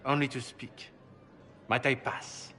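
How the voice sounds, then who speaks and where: A very polite assassin for a game, courtly and deliberate, bit of music under it. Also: male voice, medium pitch, little pitch movement, bright, forceful tone. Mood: polite, evasive. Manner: formal, smooth-talking, deliberate. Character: suave, courtly male assassin envoy, scrupulously polite. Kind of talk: video game cutscene acting.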